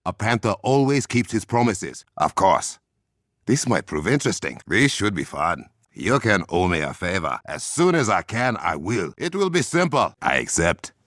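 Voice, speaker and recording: Regal warrior king for a game: African accent, solemn, honour-bound, measured authority, dry studio sound. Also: low voice, big pitch swings, fairly bright tone, no room sound, heavily acted. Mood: gracious, composed. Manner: formal, measured, commanding. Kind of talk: dramatic video game character acting.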